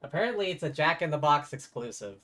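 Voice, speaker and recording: A man live-streaming a game, chatting casually and rambling to his viewers, with music softly behind him. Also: a little high, lively intonation, quick pace. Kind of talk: casual chat while playing a game. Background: faint music.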